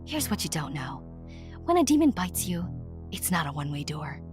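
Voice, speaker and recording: A woman's voice: low-pitched, very animated, normal speed. A woman playing a defiant, self-assured young witch who narrates and acts in a horror-comedy audio drama. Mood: defiant, confident. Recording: music behind the voice.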